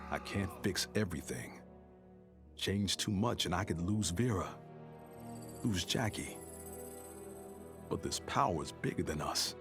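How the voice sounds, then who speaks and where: An actor playing a dutiful middle-aged military officer and father in a video game, earnest and determined, with an American accent. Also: deep voice. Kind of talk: dramatic video game monologue. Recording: music behind the voice.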